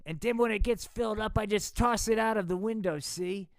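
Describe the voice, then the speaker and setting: A man voicing a lazy, crude, drunk middle-aged dad who blusters loudly. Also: high voice, fairly quick, no echo, pitched above the performer's own voice, natural voice. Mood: blustering.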